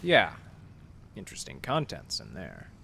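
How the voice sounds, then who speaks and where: An actor playing a wry, hardboiled library detective in a noir radio drama, with dry, clipped delivery and first-person narration. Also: male voice, low-pitched, wildly swooping pitch, unhurried, creaky, bright, forceful tone, a little hiss or hum, full character voice. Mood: dry, kindly.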